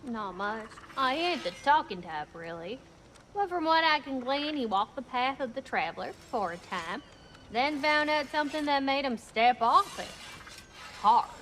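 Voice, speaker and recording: A cheeky female dwarf smith in a video game. Her voice is high and bright, bouncing expressively. She talks in a playful, colloquial way, flirty and sassy, over faint ambience. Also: expressive, a little slow, a little hiss or hum. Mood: gossipy. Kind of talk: animated video game acting.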